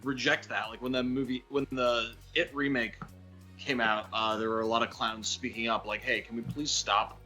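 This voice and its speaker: A man's voice: medium pitch, level tone, normal speed, bright, forceful tone, soft background music. A man co-hosting a podcast in casual chat, with hesitant, roundabout phrasing. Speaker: podcast co-host.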